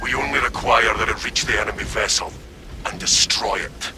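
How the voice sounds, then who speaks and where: A man's voice: fairly low pitch, moderate pace, close to an everyday voice. Man voicing a stern space marine commander. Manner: curt, commanding. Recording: game audio over music.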